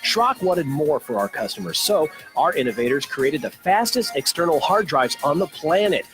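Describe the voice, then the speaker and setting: A man's voice: fairly high pitch, expressive, normal speed. A man reading scripted radio commercial narration as an announcer. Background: music bed.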